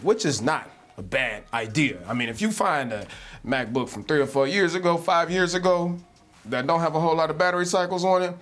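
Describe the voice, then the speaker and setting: A man hosting an unscripted tech vlog, talking casually and energetically with slangy asides, his voice slightly hoarse from yelling. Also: fairly high pitch. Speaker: tech video host. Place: home desk setup indoors.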